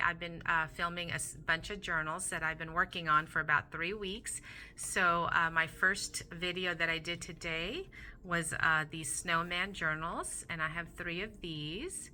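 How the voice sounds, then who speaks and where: Chatty, slightly hoarse woman, bright voice. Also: on the low side, slight background noise. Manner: chatty, rambling, with many fillers and restarts. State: slightly hoarse from losing her voice. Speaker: crafting channel host. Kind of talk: casual show-and-tell crafting vlog. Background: soft Christmas music.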